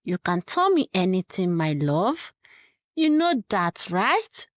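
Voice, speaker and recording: A woman voicing a caring mother who speaks gently and soothingly. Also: medium pitch, very animated, slow pace, no echo, clean studio sound. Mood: gentle.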